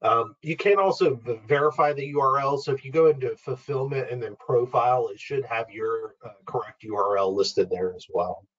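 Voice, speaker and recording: Male webinar trainer, informal and instructional, walking through software step by step. Mid-range pitch, slightly muffled lo-fi sound. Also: moderate pace, hardly any pauses. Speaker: webinar presenter, product trainer. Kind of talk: explanatory software walkthrough. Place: online webinar screen-share.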